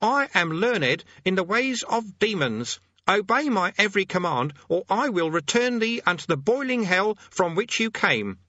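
Man acting small bookish demon summoner, high voice, pompous, faltering, mock-archaic, storybook character, swooping intonation. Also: big pitch swings, moderate pace, few pauses, bright, forceful tone, dry recording, dull audio, full character voice. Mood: blustering command. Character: short, nervous, bookish would-be demon summoner blustering pompously. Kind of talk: audiobook character voice.

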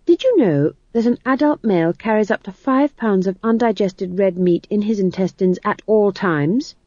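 A woman's voice: mid-range voice, animated, normal speed, mellow, dry recording, dull audio, voice pushed up, lightly acted. Woman doing a bossy old witch for a storybook, cheery n blunt, voice goes up and down loads. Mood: lecturing. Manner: folksy, blunt, chatty. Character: brash, bossy, cheerful old witch posing as humble wood-gatherer.

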